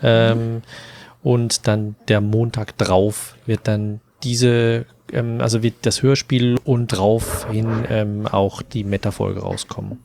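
A man's voice: lowish voice, level tone, takes it slowly, vocal fry, a little mellow, slight background noise. A man co-hosting a podcast, talking casually with the group. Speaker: podcast co-host.

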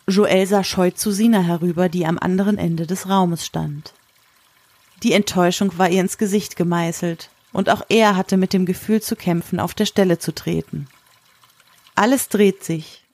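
A woman narrating a scripted story in a measured, literary reading style. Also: lowish voice, a little mellow.

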